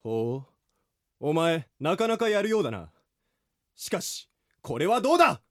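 A man's voice: a little high, very animated, normal speed. A man playing a menacing, taunting ninja assassin in a comedic period radio drama.

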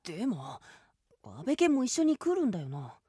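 A woman voicing a young male cat swordsman, eager and earnest, in animated radio drama style. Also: medium pitch, moderate pace, husky, dry recording, studio quality. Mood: protesting, worried. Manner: cat-like verbal tics, boyish speech.

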